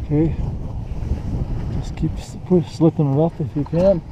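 Higher-pitched man directing work in wind. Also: steady tone, normal speed. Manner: practical, directing, thinking aloud in short phrases. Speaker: work crew leader. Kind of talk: casual work instructions to helpers. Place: outdoors on a snowy windy mountain. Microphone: body-worn action camera. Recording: muffled action camera, loud wind noise.

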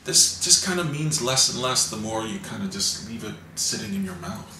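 A man in his forties, dry and teasing, chatting with a friend on a couch. His voice is bright and a little sharp, and it sits back from the mic in a roomy, echoey living room. A faint hiss runs under it. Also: on the low side, expressive, normal speed, distant mic. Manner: dry, wry and teasing, reads ads aloud. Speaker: co-host. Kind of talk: casual chat. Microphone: camera a few feet away.

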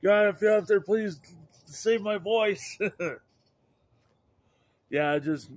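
Middle-aged male vlogger, slow and rambling, many restarts, phone at arm's length outside. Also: high-pitched. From Idaho, USA. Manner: halting, repeats and restarts words, upbeat. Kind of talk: rambling casual vlog. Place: outdoors by a house under overcast sky. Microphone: handheld phone camera at arm's length.

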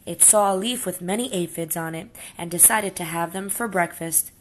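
A woman's voice: lowish voice, moderate pace. A woman narrating a children's story as she reads a picture book aloud.

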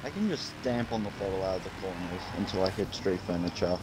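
A man gaming with a friend over voice chat, deadpan and teasing as he jokes. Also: sits low, fairly quick, noisy background. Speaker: gamer, channel host. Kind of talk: casual gaming banter.

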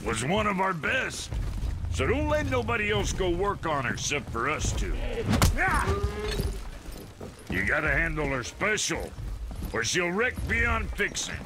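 A man voicing a gruff, boastful, foul-mouthed dwarf blacksmith in a video game, gravelly, crude and folksy. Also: high voice, sing-song, unhurried, long pauses, noisy background. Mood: boastful, salesmanlike.

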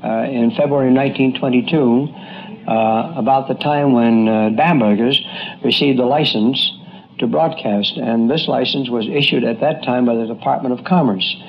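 A man's voice: mid-range voice, normal speed, gravelly. A man, a radio engineer, giving a plain, factual and slightly hesitant reminiscence. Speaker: radio station chief engineer. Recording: dull, faintly noisy archive interview.

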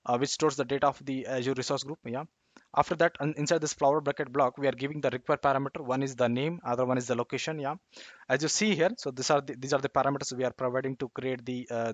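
A man's voice: medium pitch, fairly bright tone, no echo. A man explaining code on a screen share, stopping and restarting often, with lots of fillers and "okay, yeah" tags. His voice sits in the middle range and sounds close and dry, with no room around it. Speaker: tech tutorial presenter.

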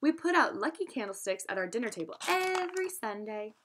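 A young woman reads aloud like a teacher guiding a class. Her pitch moves in lively swings, and her delivery is expressive and instructive. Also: brisk, slight background noise. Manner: instructive, addresses listeners with questions. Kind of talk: expressive storybook read-aloud with asides to students.

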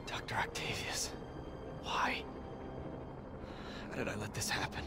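An actor playing a battered young American superhero, earnest, wry and quick to blame himself, in a cinematic video game. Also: male voice, lowish voice, flat delivery, close to an everyday voice. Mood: anguished, quiet. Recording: loud cinematic music behind.

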